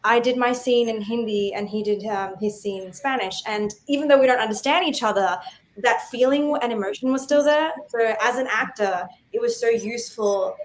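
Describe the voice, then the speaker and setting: A woman actor whose first language is Gujarati speaks in a calm, considered way. Her voice sits a little high, and a faint noise runs behind it. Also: normal speed, low-quality recording. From Australia. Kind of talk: interview answers.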